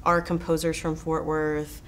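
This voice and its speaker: An American woman with a slightly low voice speaks as an interviewed filmmaker. She is enthusiastic and conversational. A faint noise sits behind her voice. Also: flat delivery, a little slow. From Texas, United States.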